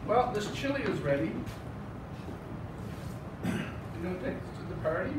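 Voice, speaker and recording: A man doing a fussy middle-aged mom with a fairly high voice, nagging and teasing in a dry way. The sound is captured from far off in an echoey theater, with noise behind. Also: big pitch swings, moderate pace. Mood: scolding. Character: fussy middle-aged mother scolding her son. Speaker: improv performer. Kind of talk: improvised stage comedy. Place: theater stage. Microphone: far room camera.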